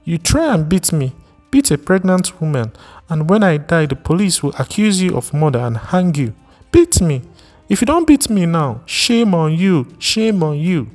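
A shrill, tearful pregnant wife, wailing and scolding her husband in a storybook reading, as a male narrator puts her on. Her voice climbs high and loud in anguished outbursts. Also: expressive, unhurried, soft background music, lightly acted. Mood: defiant. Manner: wailing, scolding, rising to cries.

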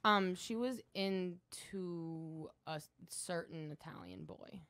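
A young woman with a lowish voice tells a breezy, half-laughing story. Her recording sounds close and dry. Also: slow, fairly bright tone, no echo. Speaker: podcast guest. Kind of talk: casual storytelling.

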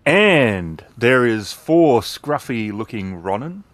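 Unhurried male game master, dry close sound. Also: medium pitch, big pitch swings, no echo. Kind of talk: descriptive narration of a tabletop game.

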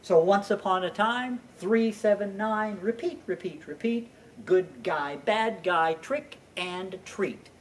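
An American man in his sixties presenting to children in an animated, deliberate teaching manner, like a library storyteller introducing a series. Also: sits high. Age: 60s. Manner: animated, deliberate teaching with repeated emphasized phrases. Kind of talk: children's educational introduction, addressing young viewers. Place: indoors in a library. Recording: distant microphone, faint room noise.

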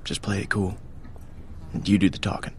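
An actor playing a cautious, level-headed young survivor in a video game. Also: male voice, sits low, completely flat delivery, moderate pace, darkish tone, slight background noise, lightly acted. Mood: low-key, wary. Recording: game dialogue over music.

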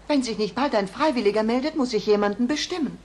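A woman's voice: a little high, quick pace, darkish tone, close to an everyday voice. A woman playing a businesslike, patient schoolteacher in a German cartoon dub. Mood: mildly wary.

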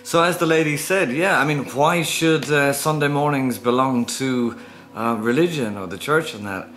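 Middle-aged man, medium pitch, casual home vlogger, musing and halting in delivery. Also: fairly bright tone, soft background music. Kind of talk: casual chat to camera. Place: small room at home.

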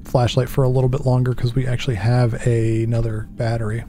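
A man in his thirties with a headset, chatting and joking to himself through a horror game, mocking his own mistakes and jumping up in pitch when something startles him. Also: completely flat delivery, fast talker, dark tone, soft background music. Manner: chatty, self-mocking, jumpy pitch swings. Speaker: gaming channel host. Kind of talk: horror game let's play commentary. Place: small dark room at a desk. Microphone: headset with desk mic.

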